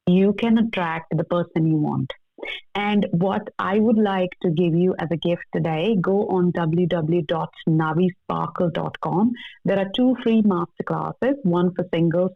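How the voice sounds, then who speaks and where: A woman's voice: fairly low pitch, vocal fry, no echo. A woman speaking as a coaching guest in a conversational interview, earnest, emphatic and motivational. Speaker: love coach, podcast guest. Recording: thin phone-like call audio.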